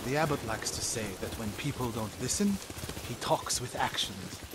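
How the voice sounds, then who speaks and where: A man voicing an earnest, grieving young warrior monk of medieval Japan in a video game, with solemn, measured speech. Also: mid-range voice, lively intonation, noisy background, close to an everyday voice. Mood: reflective. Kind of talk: dramatic video game voice acting. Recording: music and rain behind the voice.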